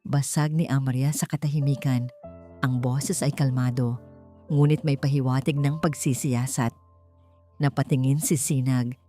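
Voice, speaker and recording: A low-pitched woman narrates a dramatic story from a script. Music plays clearly behind her voice as she reads. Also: steady tone, normal speed, mellow. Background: background music.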